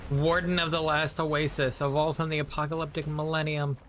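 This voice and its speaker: A man's voice: fairly high pitch, little pitch movement, fairly quick, fairly bright tone, slight background noise. A man giving casual gameplay commentary as a streamer, with brief, understated reactions. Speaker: game streamer.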